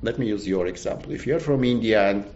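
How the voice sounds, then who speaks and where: A middle-aged man from Azerbaijan, whose first language is Azerbaijani, speaks in a fairly low voice, pausing to find words and restarting his sentences. The recording is dull and muffled, with a faint hiss underneath. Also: darkish tone. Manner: halting, searching for words, frequent restarts. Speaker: guest. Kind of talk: casual conversation.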